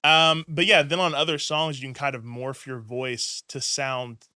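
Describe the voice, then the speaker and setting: A man's voice: medium pitch, level tone, a little slow, pushed and bright, smooth, no room sound, clean studio sound. A man hosting a casual interview, rambling and chatty with many "likes" and "ums". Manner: chatty, rambling, heavy use of "like" and "um". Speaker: podcast host.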